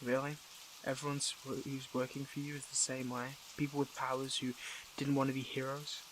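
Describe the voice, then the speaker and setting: A man playing a conflicted, tender superhero in an intimate audio roleplay, with a gravelly voice and halting, earnest, emotional delivery. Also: mid-range voice, completely flat delivery, noisy background, close to an everyday voice. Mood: thoughtful.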